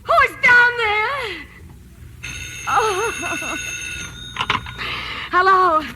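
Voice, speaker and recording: A woman's voice: very high voice, lively intonation, extremely slow, heavy background noise, exaggerated voice. An actress playing a terrified, demanding bedridden wife in old-time radio drama, breathless and stammering, pleading as she goes. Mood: fearful, agitated.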